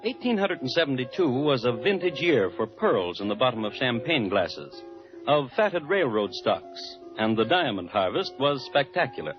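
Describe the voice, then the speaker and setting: Wry male narrator, vintage radio. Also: medium pitch. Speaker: host and narrator. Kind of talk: scripted 1940s-50s radio drama narration. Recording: dull vintage radio recording, music behind.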